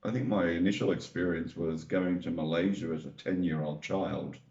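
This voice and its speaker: A man from Australia, answering interview questions in an easygoing, relaxed way. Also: low voice, moderate pace. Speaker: interview guest. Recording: roomy, dull video call audio.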